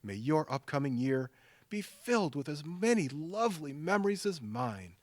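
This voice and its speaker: A man's voice: on the high side, sing-song, moderate pace, no room sound. A man acting in an audio drama as a supportive, sardonic older brother with a dry, teasing humor.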